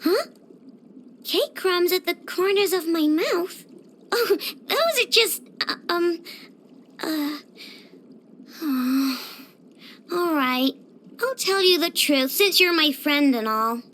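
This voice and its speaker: Very high, excitable little girl inventor. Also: a little slow, slight background noise, full character voice. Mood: sheepish. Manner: childish, playful, bossy, dramatic. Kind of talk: video game character voice lines.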